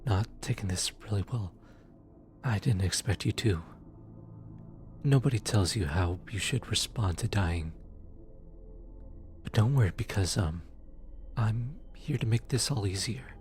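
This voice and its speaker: A man playing a gentle, slow-talking low-voiced demon. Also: lively intonation. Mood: sympathetic. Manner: hesitant, halting, tender, casual. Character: gentle, awkward male demon soul collector, soothing and sympathetic. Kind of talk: ASMR audio roleplay, soft comforting delivery.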